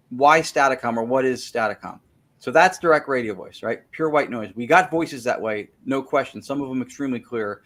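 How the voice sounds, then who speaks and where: Guy on a show walking through his technical setup step by step, restarts a lot, normal middle voice. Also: medium pitch. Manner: detailed, technical storytelling; self-correcting, repeats words while thinking. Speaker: guest, spirit-communication researcher. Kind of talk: casual interview, explaining a method.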